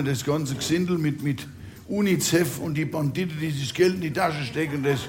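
A man's voice: on the high side, slight background noise, lightly acted. An older man rambling in a grumbling way, stumbling and repeating himself. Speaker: grumbling old worker figure.

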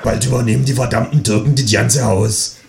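A man's voice: mid-range voice, fairly even intonation, a little fast, vocal fry, reverberant, background noise, lightly acted. A man voicing a grumbling, suspicious, bigoted old woman neighbor in a story reading.

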